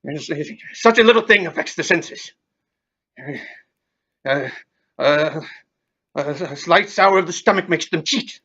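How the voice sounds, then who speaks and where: A man acts out a frightened, stubborn old miser in an audio play. His voice sits high and he speaks in agitated, theatrical stammers, slowing to plead. The sound is slightly muffled and lo-fi. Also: sharp tone, full character voice. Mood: flustered denial. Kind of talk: audio play acting of a classic ghost story.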